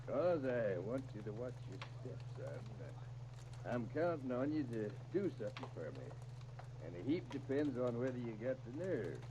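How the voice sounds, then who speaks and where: A man's voice: mid-range voice, sing-song, moderate pace, natural voice. An actor playing a shrewd, fatherly older small-town storekeeper with a drawling rural American accent, in 1930s radio drama style. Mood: serious, coaxing. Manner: drawling homespun country talk. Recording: dull, noisy 1930s radio disc recording.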